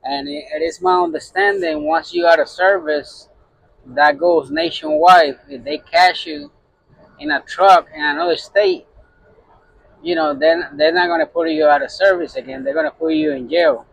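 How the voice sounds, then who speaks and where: A man's voice: fairly high pitch, sing-song, moderate pace, creaky, fairly bright tone, slight background noise, rough recording. A man from Puerto Rico, a truck driver, talking casually to his phone in a rambling way full of fillers and restarts. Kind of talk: casual vlog talk to camera.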